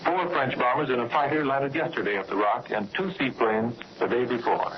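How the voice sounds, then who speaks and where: Male vintage radio newsman, middle register, measured storyteller's delivery, faintly noisy 1940s transmission. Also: mid-range voice, steady tone. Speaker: radio news correspondent. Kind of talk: 1940s radio news report, scripted. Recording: muffled, noisy 1940s shortwave radio broadcast.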